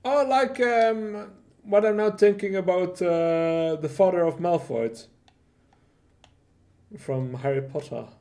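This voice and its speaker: A person chatting casually on a voice call in a halting way, interjecting comparisons. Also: mid-range voice, dark tone.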